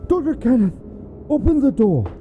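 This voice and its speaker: A woman playing a shaken country doctor in an audio drama, grave and halting as bad news is told. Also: high-pitched, very animated, narrow, tinny audio. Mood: urgent. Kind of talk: melodramatic literary audio drama acting. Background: dramatic music.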